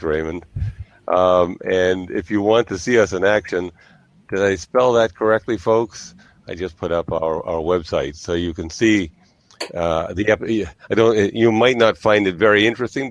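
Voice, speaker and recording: Low-voiced man, measured and halting. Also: dry recording. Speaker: host of an online group discussion of consultants. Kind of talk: casual, explanatory live-stream chat.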